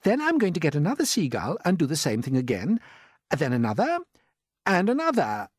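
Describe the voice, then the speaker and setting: Man playing an earnest, determined boy, raised pitch. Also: wildly swooping pitch, fairly quick, breathy edge, no echo, studio quality, full character voice.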